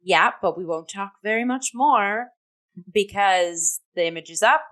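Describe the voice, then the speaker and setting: A woman co-hosting a theatre talk, speaking casually and informally in a halting, loosely built conversational flow. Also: medium pitch, fairly bright tone. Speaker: talk show co-host and theatre reviewer.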